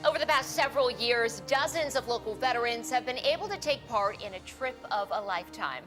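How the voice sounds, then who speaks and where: A woman anchoring a television news special, reading scripted lines in a crisp, polished way. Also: fairly high pitch, lively intonation, a little fast, music in the background.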